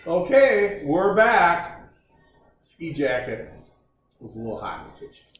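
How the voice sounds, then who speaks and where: A man's voice: a little high, very animated, measured pace. An older man hosting a casual home cooking show, joking and chatting as he cooks in a large kitchen, recorded by a camera at a distance. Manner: folksy, jokey, chatty while cooking. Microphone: camera mic at a distance. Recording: echoey kitchen, voice from off-mic.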